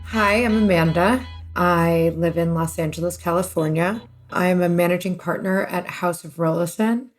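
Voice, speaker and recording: Chatty woman with a lowish voice. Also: moderate pace, few pauses, faint music behind. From US East Coast, New Jersey and New York. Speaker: interview guest, renovation business partner. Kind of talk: casual conversational interview.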